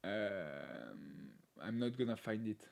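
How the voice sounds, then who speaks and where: A man hosting a live stream, chatting casually in English with a non-native accent, laughing often and filling pauses with "uh". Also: on the low side, lively intonation, creaky. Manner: laughs often, talks with many "uh" fillers. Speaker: livestream host.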